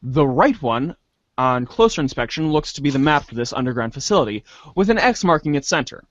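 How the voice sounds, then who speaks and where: A man's voice: mid-range voice, expressive, fairly quick, no room sound, lo-fi sound. A man giving a theatrical dramatic reading of scripted narration, now and then stumbling and rereading words. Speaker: voice reader in a webcomic reader's theater.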